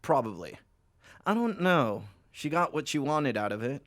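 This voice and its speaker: A man's voice: mid-range voice, very animated, normal speed, a bit bright, dry recording, studio quality. An actor playing a needy, hard-drinking philanderer of a phone salesman in an audio drama, rambling and swearing as he goes. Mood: sheepish, rambling.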